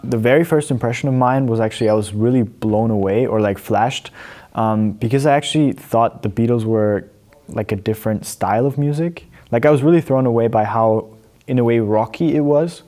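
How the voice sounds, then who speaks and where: An eighteen-year-old young man from Austria excitedly answering, leaning on "like". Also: mid-range voice, fairly quick. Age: teens. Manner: excited, heavy use of "like". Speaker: interviewee.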